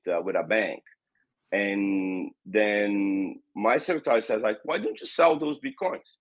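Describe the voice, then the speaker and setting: A Brazilian man in his fifties, a native Portuguese speaker with a Brazilian accent, telling stories as an interview guest, lively and acting out the dialogue. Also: medium pitch, breathy edge, no echo, low-quality recording. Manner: animated, acts out dialogue, swears casually. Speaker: guest, tech company founder. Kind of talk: casual storytelling interview.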